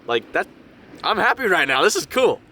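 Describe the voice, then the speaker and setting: Raspy young man vlogging outdoors. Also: high-pitched, moderate pace, slight background noise. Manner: chatty, excitable, says dude and like. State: raspy from a cold. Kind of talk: casual vlog chat to camera. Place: outdoors by a lake, camping in a small car.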